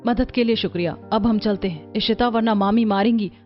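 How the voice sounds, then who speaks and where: A woman's voice: mid-range voice, brisk, lo-fi sound, soft background music, natural voice. A woman voicing a dutiful, defensive young elder sister in a cartoon story. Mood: earnest, wary.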